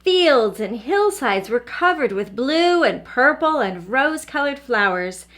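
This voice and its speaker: Higher-voiced woman reading to children. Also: a little slow. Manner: expressive, asks questions directly to young listeners. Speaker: early learning teacher reading aloud. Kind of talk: children's picture book read-aloud.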